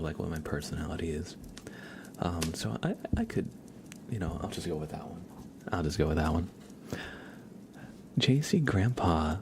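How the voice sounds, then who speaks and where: A man hosting an ASMR livestream, chatting casually and gently with viewers in a soft, breathy voice that often drops into a whisper, close to the microphone. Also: extremely low pitch, background noise. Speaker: livestream host.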